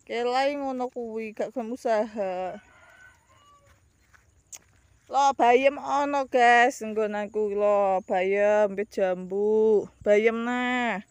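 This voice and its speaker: A woman's voice: on the high side, drawn-out words, a little mellow, clean tone, no echo. A woman in her thirties with a Javanese ngapak accent, chatting to viewers in a casual vlog, rambling and calling them guys, filmed outdoors on a phone held at arm's length. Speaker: vlogger. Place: outdoors by rice fields.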